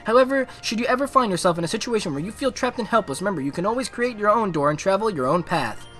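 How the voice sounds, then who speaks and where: A man voicing a poised teenage student council president, reading a formal, measured speech from a visual novel. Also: sits high, lively intonation, fairly bright tone, soft background music. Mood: formal, earnest.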